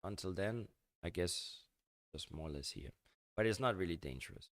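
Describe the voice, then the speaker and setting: An older man from Denmark, rambling and halting through a casual live-stream chat with his viewers. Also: sits low, lively intonation, no room sound. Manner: halting and rambling, many fillers and restarts.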